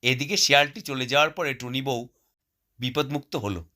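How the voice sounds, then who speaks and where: A man's voice: medium pitch, fairly even intonation, moderate pace, pushed and bright. A man narrating a scripted cartoon story as the storyteller.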